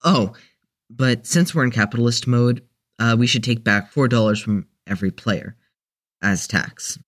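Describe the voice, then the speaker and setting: A man's voice: mid-range voice, fairly bright tone, lightly acted. Man playing a hesitant, mild young newcomer. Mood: uncertain. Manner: halting, unsure. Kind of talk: audio drama dialogue.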